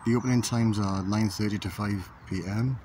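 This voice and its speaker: Man, low voice, casual tour guide, unhurried with pauses, handheld phone audio, noisy background. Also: fairly even intonation. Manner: unhurried, pauses with "uh" while pointing things out. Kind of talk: casual walking tour narration. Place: walking through a library and along a high street. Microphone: handheld phone camera. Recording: noisy phone recording with room ambience.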